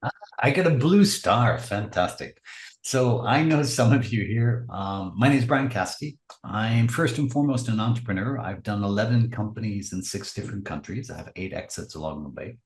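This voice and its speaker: A man with a low voice introduces himself on a webinar in an upbeat, wry way. His audio is roomy, with the echo of the room around him. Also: expressive, moderate pace. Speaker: entrepreneur and author, webinar co-host.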